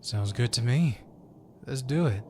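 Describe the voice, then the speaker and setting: A man playing a composed undercover agent posing as a job applicant in an audio roleplay, polite and measured. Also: medium pitch, animated, dark tone, slight background noise.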